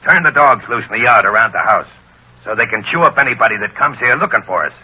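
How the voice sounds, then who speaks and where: A bossy American gangster barks in a very rough, hard-edged voice with big swings in pitch. The style is old radio crime melodrama. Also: male voice, lively intonation, fast talker, sharp tone, highs cut off, exaggerated voice. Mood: gruff, bossy. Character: tough, bossy gangster boss on the run. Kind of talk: 1930s-40s radio acting.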